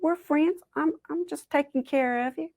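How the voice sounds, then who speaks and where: A woman's voice: high voice, moderate pace, a little mellow, no room sound, clean studio sound, pitched above the performer's own voice. A woman voicing a sly trickster male rabbit who sounds falsely friendly, in an animal folktale.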